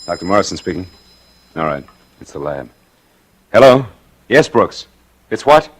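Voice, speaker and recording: Low-voiced, embittered radio-drama surgeon. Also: male voice, very animated, normal speed, background noise. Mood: tense, clipped. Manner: clipped, controlled, dramatic. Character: stern, embittered surgeon and wronged husband. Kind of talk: 1940s radio drama acting. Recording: vintage radio broadcast.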